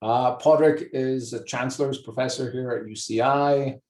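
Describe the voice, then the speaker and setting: A mellow, dark-voiced Irish man introduces a seminar speaker in a chatty way full of small fillers. His voice rings in a roomy, echoey space. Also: medium pitch, fairly even intonation, normal speed. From east of Ireland. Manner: warm, chatty, hesitant with fillers. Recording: echoey room.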